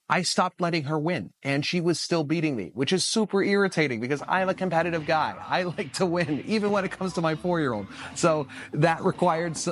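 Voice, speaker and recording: Husky-voiced dad, 30s, getting over a cold, chatty upbeat review, dry close sound. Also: male voice, mid-range voice, dry recording. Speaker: stay-at-home dad reviewing a toy. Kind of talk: casual product review to camera.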